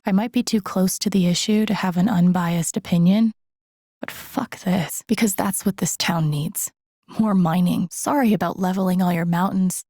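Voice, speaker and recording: A woman voicing a young, introspective, dry-humored game heroine, murmuring her thoughts in a husky, breathy voice. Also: on the low side, fairly even intonation, normal speed, dark tone, no room sound. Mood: wry, musing. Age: young adult. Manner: murmured, breathy thinking aloud, often near a whisper. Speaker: video game voice actress. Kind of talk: soft inner-monologue game voice acting.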